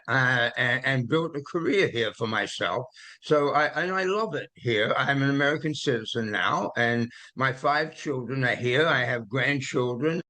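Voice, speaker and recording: A man in his eighties, born in London and raised in Australia, with an Australian accent, gently telling personal stories as an interview guest with many hesitant fillers. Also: mid-range voice. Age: 80s. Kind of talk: reflective conversational storytelling.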